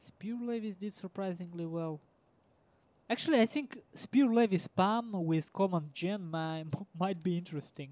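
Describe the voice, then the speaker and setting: A man with a Russian accent casually commentating a strategy game match, talking haltingly with many ums and uhs and small laughs. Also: high voice, a little mellow. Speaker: esports commentator.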